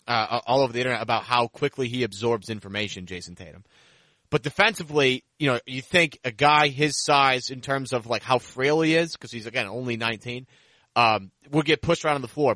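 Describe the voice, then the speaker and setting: A man's voice: mid-range voice, sharp tone, dry recording. A man hosting a sports podcast, talking basketball in a casual, conversational way.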